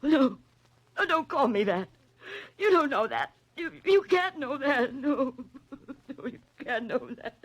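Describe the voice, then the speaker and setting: An American actress playing a secretive, anguished woman in her thirties, halting and pleading in melodramatic 1940s radio style. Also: high-pitched, animated, slightly rough, no room sound, exaggerated voice. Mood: frightened, pleading. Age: 30s. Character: anguished, secretive 35-year-old daughter of a convict.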